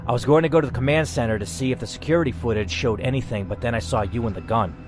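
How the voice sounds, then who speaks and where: A man's voice: medium pitch, rapid-fire, noisy background, lightly acted. A man voicing a terrified, guarded woman scientist in her late thirties from a horror game story. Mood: frightened, distrustful. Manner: fearful, guarded.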